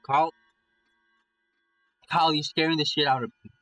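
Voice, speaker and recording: A man's voice: a little high, lively intonation, a little slow, a bit bright, soft background music. A man playing a frightened young man in a horror story, with urgent, rattled outbursts. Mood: frightened pleading. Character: frightened young man trapped in a nightmare, anxious.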